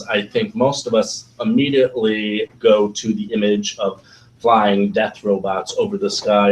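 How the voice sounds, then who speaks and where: Raspy guy moderating a panel, lowish voice, kinda far from the mic. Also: slight background noise. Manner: reads guest bios with fillers and small slips. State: recovering from illness.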